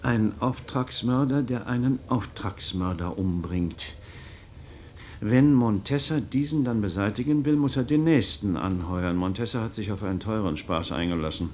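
A man's voice: lowish voice, normal speed, a little mellow, a little hiss or hum, close to an everyday voice. A man acting in a German radio play as a shrewd magistrate, theatrical and rhetorical, relentlessly and mockingly cornering a suspect. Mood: musing, sardonic. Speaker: actor in a radio drama.

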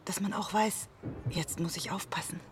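An actress playing a thoughtful, passionate violinist who talks reflectively in a radio drama. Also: female voice, lowish voice, normal speed, close to an everyday voice. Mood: quietly excited. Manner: reflective, explanatory. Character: thoughtful, passionate female string quartet violinist. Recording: clear ambient noise behind.

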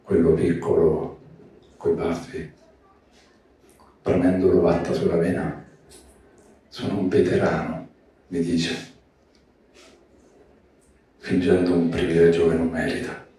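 A man giving a slow literary reading of poetic prose. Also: sits low, flat delivery, dark tone. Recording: roomy echoing space, distant mic, faint noise.

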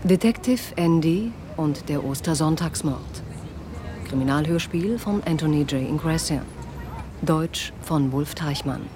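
A woman announcer reading radio drama credits in a measured, formal manner. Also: sits low, lively intonation, background noise.

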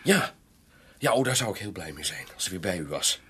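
Deferential doctor, lowish husky voice, lively intonation, earnest, radio drama. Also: male voice, brisk, heavily acted. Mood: loyal, pleased. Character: loyal, deferential male doctor, devoted former pupil.

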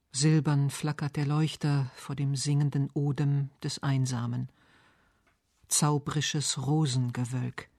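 A man reading scripted literary narration and verse for radio, measured and articulate. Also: medium pitch, a little mellow, dry recording, clean studio sound. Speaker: radio essay narrator and poetry reader.

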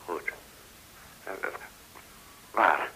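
Hesitant dealer, piercingly bright low voice. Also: male voice, very slow, creaky, exaggerated voice, low-quality recording. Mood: guarded. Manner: hesitant, clipped, polite. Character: deferential, cautious male gold dealer in Hong Kong. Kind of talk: radio play acting. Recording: hissy old radio recording.

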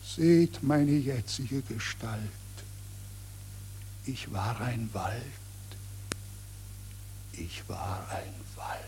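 Ancient dead forest: accusing, mournful verse, drawn out and whispery, 1940s radio recording with faint noise. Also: male voice, mid-range voice, animated, darkish tone. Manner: solemn, slow, declamatory, with breathy whispers. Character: ancient felled forest, grieving, accusing, cursing spirit.

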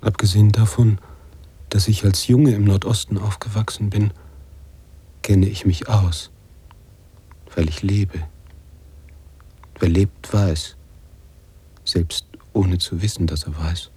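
Brooding writer-narrator, radio play, deep dark timbre, very husky, deliberate, literary. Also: male voice, steady tone, full character voice. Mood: reflective, self-assured. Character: brooding male writer narrating his own story.

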